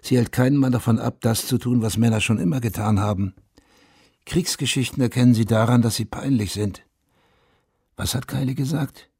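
An actor playing a haunted, self-accusing Vietnam War veteran who narrates a radio drama in a sober, confessional, terse way. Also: male voice, medium pitch, moderate pace, a little mellow, slightly rough, dry recording. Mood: grim, pointed. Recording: studio radio play with music underneath.

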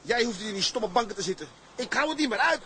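An actor playing an earnest young sailor in a radio drama, speaking respectfully and politely. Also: male voice, sits high, animated, quick pace, sharp tone, slight background noise. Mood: desperate. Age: young adult.

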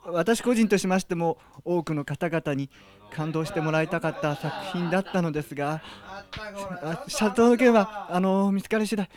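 Stammering, apologetic young man, played by an amateur actor, fairly high pitch, press conference with crowd clearly behind. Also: normal speed, close to an everyday voice. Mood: flustered, apologetic. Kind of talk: comic radio drama press conference.

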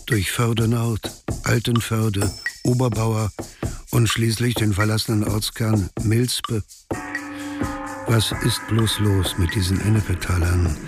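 A man narrating a radio drama in a deliberate, ominous, brooding manner. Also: lowish voice, fairly even intonation. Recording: loud music under voice.

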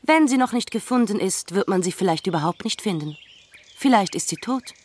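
A woman plays a bitter lady of rank in a radio drama. Her medium-pitched voice is clipped and full of contempt. She gives orders as if used to being obeyed. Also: animated, a little fast, lightly acted. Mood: cold scorn. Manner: curt, contemptuous, commanding. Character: bitter, scornful, imperious gentlewoman. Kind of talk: German radio play acting.

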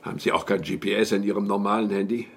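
An actor playing a wry, self-satisfied informant in a radio crime drama, confiding with drawled hesitations and irony. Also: male voice, lowish voice, fairly even intonation, fast talker, gravelly. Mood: shrewd, confiding. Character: wry, self-satisfied male insider, shrewd secretive informant.

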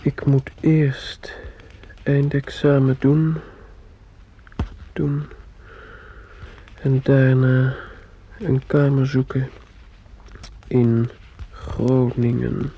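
A man's voice: mid-range voice, level tone, extremely slow, pauses often, slightly rough, heavily acted. A man acting a troubled, withdrawn young male student in a radio drama, on a dull, muffled old recording. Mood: cold, measured.

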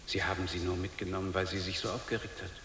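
A low, creaky-voiced, troubled father in a radio drama. He speaks haltingly and pleads to keep his faith. The sound is hissy and rings in a large echoing hall. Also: flat delivery, fairly bright tone. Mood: troubled, uncertain. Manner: halting, repeats himself, pleading. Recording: hissy, echoey reverberant hall.

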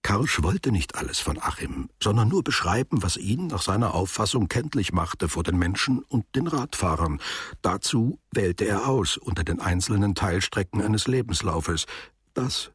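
A man narrating a literary radio drama in a measured, formal way, with music behind him. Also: on the low side, big pitch swings, slightly rough, no room sound, clean studio sound.

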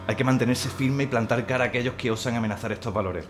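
A man playing a zealous, fast-talking commissar. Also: mid-range voice, music in the background, lightly acted. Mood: earnest. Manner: earnest, rallying. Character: zealous male republican commissar.

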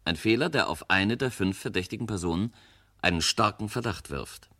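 A man's voice: lowish voice, normal speed, sharp tone. A man presenting a scripted radio mystery as narrator, formal and courteous, addressing listeners and building suspense.

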